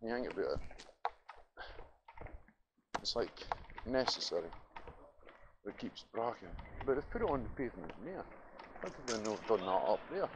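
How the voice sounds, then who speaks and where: A man's voice: fairly low pitch, big pitch swings, normal speed, pauses often. A Scottish man muttering a rambling, sweary, casual commentary as he walks along outdoors, filming on a handheld device. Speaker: vlogger. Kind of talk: casual walking commentary, thinking aloud. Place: outdoors on a city street. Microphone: handheld camera or phone held by speaker. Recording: noisy outdoor street with traffic and roadworks.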